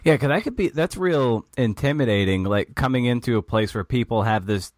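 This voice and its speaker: An American man hosting a casual interview, circling his way haltingly toward each question. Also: medium pitch, lively intonation, no room sound, clean studio sound. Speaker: podcast host and interviewer.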